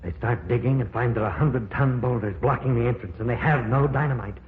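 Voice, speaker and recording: A man playing a calm, theorizing professor in an old radio drama, speaking in a measured, explanatory way. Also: medium pitch, slight background noise, close to an everyday voice. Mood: explaining. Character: older male professor, calm, theorizing, steady. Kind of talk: 1950s radio drama acting. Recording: tinny lo-fi old radio broadcast.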